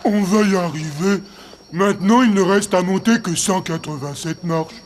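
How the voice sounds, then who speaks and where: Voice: mid-range voice, normal speed, a little hiss or hum, natural voice. An actor voicing an easygoing, confident male animal guide in a cartoon, reassuring and plain-spoken. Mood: breezy, reassuring. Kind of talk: cartoon sidekick acting. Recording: hall reverb, music behind.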